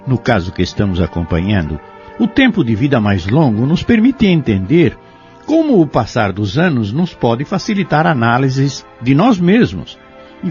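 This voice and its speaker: A Brazilian man giving formal, instructive spiritual commentary in a measured, scripted style. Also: mid-range voice, darkish tone. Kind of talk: didactic scripted religious commentary. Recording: slightly muffled, with music behind. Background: background music.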